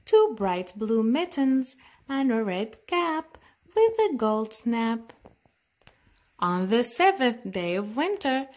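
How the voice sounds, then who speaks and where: A woman reading a picture book aloud for children in a sing-song way, with chatty asides to young listeners. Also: sits high. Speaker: children's storytime host.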